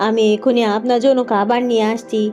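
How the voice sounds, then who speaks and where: A woman's voice: a little high, level tone, fast, a little mellow, highs cut off, soft background music, close to an everyday voice. A woman voicing a meek, polite, dutiful young farmer's wife in a cartoon fairy tale.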